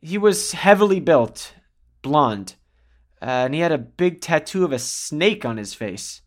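Man playing young male witness, nervous, somewhat high-pitched, crime audio drama. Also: sing-song, unhurried.

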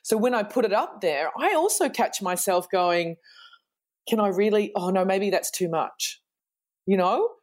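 A woman's voice: medium pitch, animated, measured pace, darkish tone. A woman guest in a casual interview, speaking earnestly and reflectively, with some restarts. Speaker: guest, fitness trainer.

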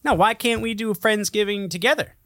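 A man's voice: high-pitched, fairly quick, a bit bright. A man in his thirties co-hosts a casual podcast chat. He tells stories in a loose, profane, joking way. The recording is dry and free of room echo. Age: 30s. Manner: profane, jokey. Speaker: podcast co-host.

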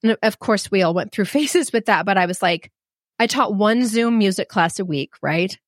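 A woman's voice: medium pitch, moderate pace, no room sound, studio quality. Frank middle-aged woman telling her story. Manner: frank, animated, self-deprecating. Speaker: storyteller guest. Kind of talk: candid personal storytelling.

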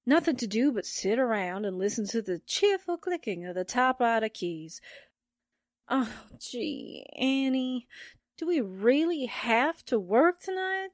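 An actress playing a perky young secretary who complains melodramatically, in 1940s radio drama style. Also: female voice, fairly high pitch, expressive, a little slow, no echo, clean studio sound. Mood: exasperated, playful. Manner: melodramatic good-natured complaining.

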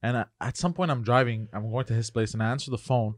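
A man's voice: medium pitch, fast talker, no room sound, clean studio sound. Laid-back man telling a story. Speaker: podcast co-host. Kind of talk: casual chat.

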